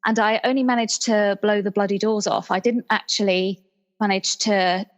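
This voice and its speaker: A woman's voice: mid-range voice, fairly even intonation, moderate pace, close to an everyday voice. Reverberant woman playing an apologetic spy. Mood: matter-of-fact, regretful. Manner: formal, apologetic. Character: apologetic, dutiful female spy explosives agent. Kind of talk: improvised audio roleplay. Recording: reverberant room with long echo.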